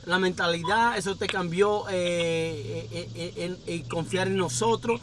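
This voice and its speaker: A man's voice: high voice, fairly even intonation, measured pace, a bit bright, a little hiss or hum. A man with an Ecuadorian Spanish accent that has a few Dominican touches, hosting a casual interview from inside his car and telling meandering stories. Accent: Ecuadorian Spanish with some Dominican touches. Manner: chatty, meandering storyteller. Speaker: podcast host. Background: faint street sounds.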